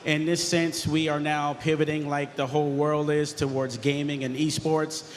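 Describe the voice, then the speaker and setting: Informal guy on a panel, flat voice, slightly high. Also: moderate pace, slight background noise. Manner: chatty, informal. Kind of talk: conference panel talk.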